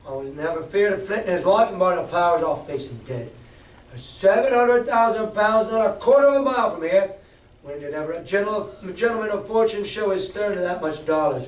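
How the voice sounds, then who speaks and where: Guy doing a loud gruff old pirate captain, scornful, bit high, roomy echo. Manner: blustering, rough seaman's talk. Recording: echoey room, far from mic.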